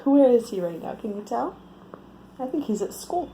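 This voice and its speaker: Casting: female children's storyteller, picture-book read-aloud, highly melodic delivery, mellow tone, faint noise behind. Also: normal speed, poor audio. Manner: expressive, asks the listeners questions.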